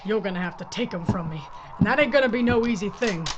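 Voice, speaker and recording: An actress playing a blunt, folksy Old West sheriff with an in an audio drama. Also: female voice, medium pitch, animated, fast, lightly acted. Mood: commanding, scornful. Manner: plain-spoken, hard, folksy. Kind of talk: Western audio drama acting. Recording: muffled lo-fi with clear noise.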